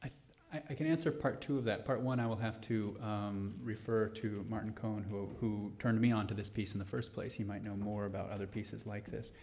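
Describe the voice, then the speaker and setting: Middle-aged male playwright, low voice, thoughtful and self-correcting in a live Q&A. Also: steady tone, brisk, a little mellow. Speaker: playwright answering audience questions. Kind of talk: post-show question and answer talk. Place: live radio play venue before a studio audience.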